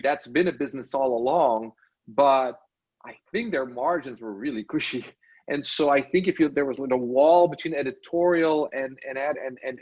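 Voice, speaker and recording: A man's voice: medium pitch, slightly rough, lo-fi sound. A man from Belgium, a podcast guest who thinks aloud in a casual interview, often checking in with "right?" and restarting his sentences. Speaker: podcast guest, tech studio lead.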